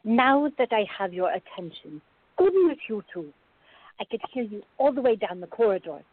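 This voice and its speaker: A woman's voice: medium pitch, very animated, normal speed, no room sound. A woman playing a firm, sensible peacemaking partner in a radio drama, with a chiding but fond manner. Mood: scolding. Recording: thin, phone-like band-limited audio.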